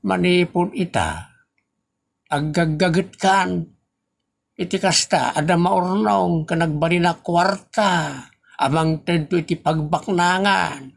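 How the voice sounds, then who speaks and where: Lalaki nga agak-akting a nasingpet a tatang, nagaraghag ti timekna. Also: male voice, high voice, slow pace, gravelly, pauses often, full character voice. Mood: earnest, admonishing. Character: earnest, counselling father of a grown child. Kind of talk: Ilocano comedy audio drama.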